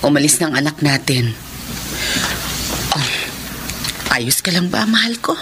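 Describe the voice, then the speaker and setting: A middle-aged Filipino mother in a radio drama, fretful and devoted. Her pitch swings widely and dramatically, over faint background noise. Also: lively intonation, a little slow. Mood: concerned.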